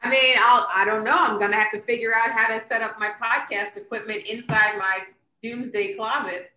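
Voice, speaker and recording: A stand-up comedian woman chatting on a podcast, quick to laugh and loose with her fillers. Her voice is bright and forward, with a roomy echo around it. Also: a little high, steady tone. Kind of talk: casual comedic chat.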